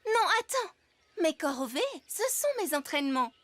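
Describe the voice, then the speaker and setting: Very high-pitched, eager cartoon deer-girl. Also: very animated, normal speed, noisy background. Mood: defensive, assured. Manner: emphatic, theatrical, self-assured. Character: eager, warm-hearted, boastful young female deer-girl. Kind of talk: French cartoon dubbing. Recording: music behind the voice.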